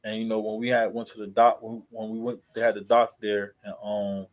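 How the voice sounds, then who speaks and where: A man with an American accent chatting casually as a livestream host, in a halting, repetitive, rambling way full of fillers. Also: lowish voice, level tone. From New Jersey, USA.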